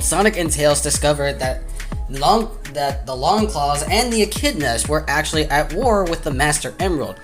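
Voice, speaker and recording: A man's voice: fairly high pitch, a bit bright. A man narrating a fan's video review from a script with casual asides, enthusiastic and stumbling over words now and then. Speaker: film reviewer.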